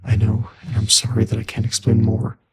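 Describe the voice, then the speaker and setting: An actor voicing a mysterious, gentle shadow spirit in an audio drama, with a breathy, raspy, soft-spoken and measured delivery. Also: male voice, on the low side, completely flat delivery. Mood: regretful, secretive.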